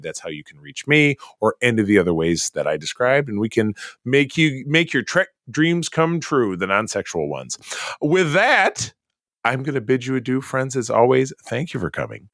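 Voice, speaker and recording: A hoarse man co-hosting a podcast, chatting casually and joking through a sign-off, from Michigan. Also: mid-range voice, fairly bright tone, dry recording, studio quality. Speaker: podcast co-host. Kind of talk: casual chat.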